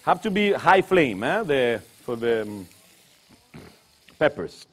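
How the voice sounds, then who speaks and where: A man's voice: medium pitch, big pitch swings, slow, husky. A man from Tuscany with an Italian accent, whose first language is Italian, chatting through a cooking demonstration in broken English with Italian interjections. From Tuscany, Italy. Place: kitchen demonstration set.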